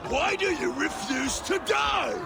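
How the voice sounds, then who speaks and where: A man's voice: very high-pitched, lively intonation, slow pace, creaky, full character voice. An actor playing a brutal Viking warlord villain in a video game, roaring and sneering his taunts. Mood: savage taunting. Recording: loud music and crowd behind the voice.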